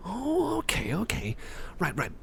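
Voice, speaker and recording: A man's voice: high-pitched, wildly swooping pitch, measured pace, creaky, background noise, heavily acted. A man playing an eager, smitten oddball in an ASMR roleplay, rambling and repeating himself with hesitant stammers and breaking into whispers. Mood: eager, gushing. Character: eager, rambling, awkwardly smitten male oddball with mechanical parts.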